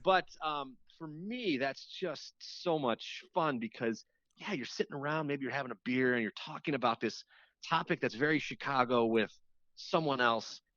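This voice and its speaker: A man with a mid-pitched voice talks casually as an interview guest, circling back to rephrase as he explains. The sound is dry and somewhat dull, like a muffled lo-fi connection. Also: moderate pace, fairly bright tone, no echo. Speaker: radio show host appearing as guest.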